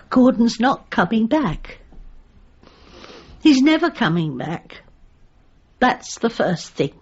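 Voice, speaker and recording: An actress playing a grieving, guilt-ridden widow in a radio comedy drama, hesitant, plaintive and tearful. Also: female voice, a little high, husky, muffled recording, exaggerated voice. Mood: bereft.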